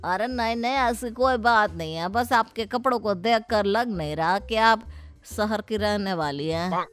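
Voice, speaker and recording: A woman's voice: medium pitch, unhurried, soft background music, natural voice. A woman voicing a nosy male small-town vegetable seller in a cartoon story, inquisitive and ingratiating. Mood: backpedalling.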